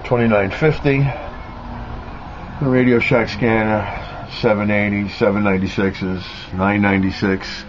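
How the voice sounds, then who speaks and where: A man's voice: lowish voice, level tone, measured pace. A man casually narrating an off-the-cuff tour of his radio hobby gear, with jokey, self-mocking boasting. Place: cluttered garage and radio room, indoors. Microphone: handheld camera's built-in mic. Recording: muffled handheld camera audio with clear noise.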